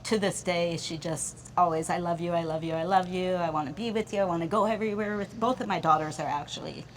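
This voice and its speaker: A woman in her sixties with a slightly low voice talks earnestly as a podcast guest. Her tone is reflective, broken by light laughs, over a faint hiss. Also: fairly even intonation, fast talker. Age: 60s. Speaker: guest, mother. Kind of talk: casual podcast chat. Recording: faint background noise.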